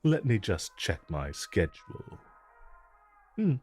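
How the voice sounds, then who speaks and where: A man playing a suave, flirtatious supervillain in a romantic audio roleplay, with a smooth, teasing drawl and deliberate pauses. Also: fairly low pitch, wildly swooping pitch, a little mellow, soft background music, heavily acted. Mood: flirtatious, relaxed. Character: suave, flirtatious male supervillain courting his tailor.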